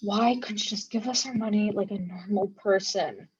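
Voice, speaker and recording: A woman's voice: mid-range voice, moderate pace, darkish tone, slightly rough, muffled recording. A woman playing a sardonic, grumbling young warrior woman in a dramatic reading, with a sarcastic manner.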